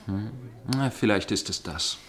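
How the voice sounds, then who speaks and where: A low-pitched gentleman storyteller from a radio play. He is inquisitive and suspicious. His delivery is formal and measured, with a probing edge. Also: a little hiss or hum. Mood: curious, probing. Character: inquisitive gentleman narrator, suspicious dinner guest with probing temper. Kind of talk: German radio play narration and dialogue.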